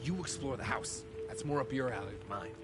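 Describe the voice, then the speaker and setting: A man voicing a lively folksy sidekick. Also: medium pitch, a little fast, loud music behind the voice, natural voice. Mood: suspicious, hushed. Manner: folksy, drawling slang. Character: folksy male cowboy detective sidekick, sly and jovial. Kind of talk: scripted video game character dialogue.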